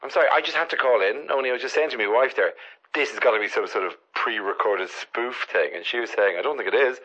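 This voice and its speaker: A man's voice: mid-range voice, fast, pushed and bright. Tipsy male caller on a phone line. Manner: rambling, chatty storytelling. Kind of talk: casual chat over the phone. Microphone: telephone.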